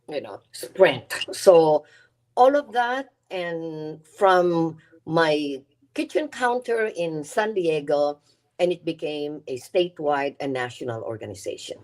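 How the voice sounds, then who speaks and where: A woman's voice: low voice, darkish tone. A middle-aged Filipina woman with a Filipino accent, speaking earnestly as a podcast guest and often repeating words as she builds her point. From Philippines. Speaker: guest, nonprofit CEO. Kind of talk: podcast interview.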